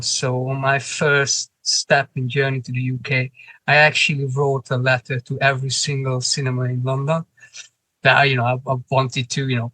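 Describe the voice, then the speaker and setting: A man from Hungary with a Hungarian accent, answering interview questions in English as a guest, often pausing and restarting his phrases. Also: medium pitch, pushed and bright, no room sound, low-quality recording. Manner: halting, restarts phrases, non-native grammar. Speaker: cinema manager, guest.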